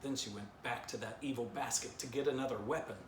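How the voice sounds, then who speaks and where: A man's voice: mid-range voice, fairly even intonation, fast talker, natural voice. An anxious, alarmist female dog from a children's storybook, acted out by a man, with dramatic urgency. The recording is roomy and echoing, with noise behind it. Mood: panicked. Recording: roomy echo, clear background noise.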